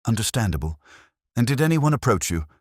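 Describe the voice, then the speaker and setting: A man voicing a mild, shrewd English police inspector in a mystery story, speaking gently, smoothly and politely. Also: medium pitch, very animated, a little fast, no room sound, studio quality, voice pushed up. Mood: polite, measured. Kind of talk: audio mystery story dialogue.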